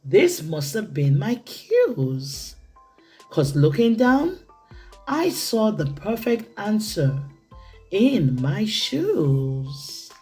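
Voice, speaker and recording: An eager, kind-hearted little boy hero from a picture book, read by a woman. The delivery is excited and exclaiming, with slow, drawn-out words and big pitch swings over music. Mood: inspired.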